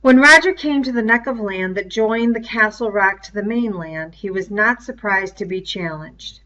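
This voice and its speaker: A woman's voice: mid-range voice, moderate pace, pushed and bright, lo-fi sound. A woman reading a story aloud expressively, with explanatory asides. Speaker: reader.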